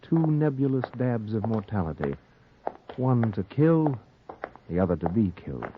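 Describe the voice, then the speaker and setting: A man's voice: on the low side, sing-song, moderate pace, background noise. An actor playing a sinister, taunting narrator of a 1940s noir radio drama, theatrically addressing a doomed man. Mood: ominous, taunting. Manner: theatrical, ominous, insistent second-person address. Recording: muffled, tinny 1940s radio recording, music behind.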